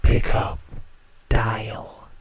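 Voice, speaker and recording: A man playing a sinister late-night spirit radio host with a hushed, whispery, theatrical way of talking. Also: slight background noise. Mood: sinister welcome. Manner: theatrical, drawn-out, creepily welcoming. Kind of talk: horror radio drama.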